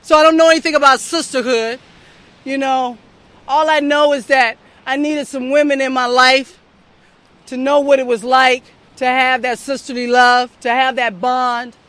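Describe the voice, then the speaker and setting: Bright, high-voiced young woman testifying. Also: level tone, moderate pace. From southern United States. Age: young adult. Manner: heartfelt and earnest, speaks straight to the crowd. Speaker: speaker at a recovery meeting. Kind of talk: personal testimony to an audience.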